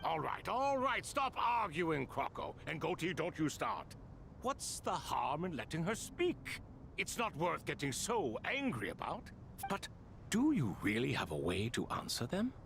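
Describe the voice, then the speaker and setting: Creaky, expressive ancient spirit lord. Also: male voice, fairly high pitch, unhurried, a little hiss or hum, heavily acted. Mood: placating. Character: ancient, genial, courteous male spirit lord. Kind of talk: scripted video game voice acting.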